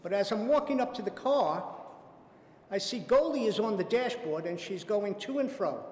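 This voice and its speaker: A man telling a personal anecdote at a theater event, warmly amused and lingering on the punchlines. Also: high-pitched, lively intonation, moderate pace, a little hiss or hum. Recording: echoey hall, faint ambience.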